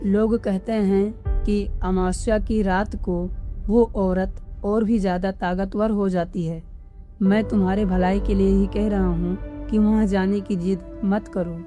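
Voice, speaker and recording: A woman's voice: mid-range voice, steady tone, a little slow, warm tone, faint music behind, natural voice. A woman voicing a timid, superstitious young village man who cautions with worry, in cartoon story dialogue. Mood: worried warning. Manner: worried, cautioning.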